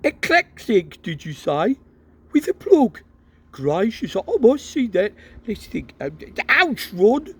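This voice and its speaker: A man voicing a flustered, enthusiastic middle-aged wizard father who stammers with excitement. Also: sits high, normal speed, husky, pitched above the performer's own voice, exaggerated voice. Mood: excited.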